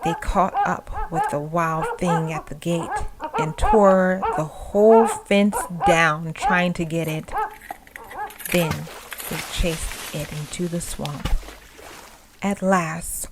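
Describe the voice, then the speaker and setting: A woman storyteller narrating a ghost story in a suspenseful, expressive, dramatic style. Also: fairly low pitch, slight background noise, poor audio. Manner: suspenseful, expressive, dropping to breathy hush. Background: music.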